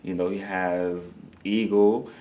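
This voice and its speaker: A man's voice: sits low, little pitch movement, takes it slowly, mellow. A man being interviewed as a playwright, talking casually and conversationally, often saying "you know.".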